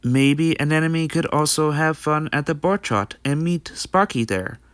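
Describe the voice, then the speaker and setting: A man acts out a friendly young stallion from a fan-made cartoon dub. He is chatty and practical, explaining things earnestly in a clean, smooth voice. The sound is dry and close. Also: fairly high pitch, normal speed, no room sound, lightly acted. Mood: friendly, hopeful. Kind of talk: amateur comic dub voice acting.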